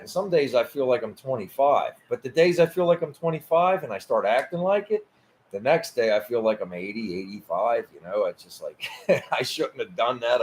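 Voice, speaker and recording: A man's voice: medium pitch, a little hiss or hum. A man in his fifties hosting a casual live chat about cars, easygoing, often stammering and laughing as he talks. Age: 50s. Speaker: host.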